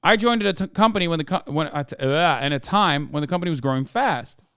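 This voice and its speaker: A man's voice: fairly high pitch, lively intonation, fast talker, fairly bright tone, clean tone, no room sound, clean studio sound. A man voicing a frank, wry Icelandic entrepreneur with a put-on Icelandic accent, reading a written statement aloud.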